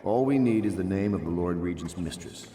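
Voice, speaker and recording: A man voicing a grave, resolute conspiracy leader in a video game, measured and earnest. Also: low voice, animated, mellow. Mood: resolute, serious. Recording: roomy echo, faint music.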